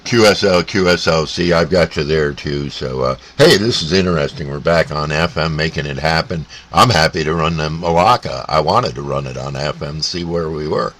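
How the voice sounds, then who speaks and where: A man chatting casually as a CB radio operator, halting and repeating radio jargon. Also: extremely low pitch. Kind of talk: casual radio contact chat. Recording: steady radio static and hiss.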